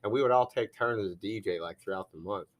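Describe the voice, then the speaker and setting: A man's voice: fairly low pitch, fast talker. Laid-back young man hosting a studio podcast. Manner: laid-back, rambling with fillers. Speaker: podcast host. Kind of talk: casual studio chat. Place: recording studio.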